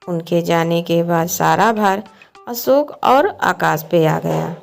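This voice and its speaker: A woman's voice: on the low side, creaky. A woman reading scripted storybook narration for a cartoon moral tale. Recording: music behind the voice. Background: background music.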